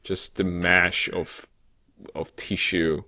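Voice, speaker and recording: A man co-hosting a gaming show in casual, unscripted chat, rambling and halting, with a joking way of talking. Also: deep voice, level tone, bright, forceful tone, slight background noise. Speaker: co-host of a weekly gaming news show.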